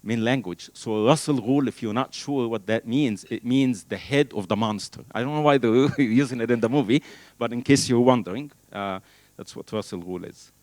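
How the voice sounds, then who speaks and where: A middle-aged man originally from Egypt, a native Arabic speaker, giving an animated keynote talk on stage with a handheld mic, using many fillers. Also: mid-range voice, moderate pace, no room sound. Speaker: keynote speaker, engineering professor. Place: large hall, on stage at a podium. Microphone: handheld microphone.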